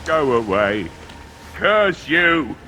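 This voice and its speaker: An embittered village blacksmith from a fantasy game. His voice sits fairly high with a hard, forceful edge, snapping and fed up, over clear background ambience. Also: sing-song, drawn-out words, a little hiss or hum, exaggerated voice. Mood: bitter, angry. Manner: surly, snapping. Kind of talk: video game voice acting.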